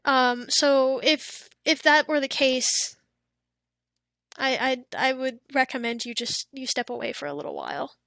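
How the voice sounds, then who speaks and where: A young woman streamer chatting casually with her viewers and reading out chat, her voice a little worn from losing it, often dropping into soft whispery asides. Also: high-pitched, expressive, measured pace, gravelly, no echo. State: losing her voice, throat a bit off.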